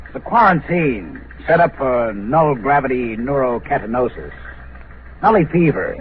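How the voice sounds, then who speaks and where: A man's voice: medium pitch, big pitch swings, natural voice. An American man acting a sour, world-weary news bureau chief in a mid-century radio drama, sardonic and emphatic. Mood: wary. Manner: sardonic, exclamatory, drawn-out emphasis. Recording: tinny, noisy vintage radio recording.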